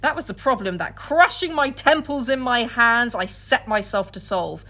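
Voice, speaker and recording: A woman's voice: a little high, lively intonation, normal speed, bright, forceful tone. A woman podcast co-host mixing casual, comic chat with dramatic book readings, giggly, irreverent and free with swearing.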